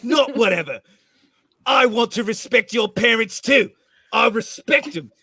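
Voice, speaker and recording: A man acting a brash, combative space adventurer with a raspy voice in a comedic script read. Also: very high voice, expressive, measured pace, pushed and bright, dry recording, clean studio sound, heavily acted. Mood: indignant.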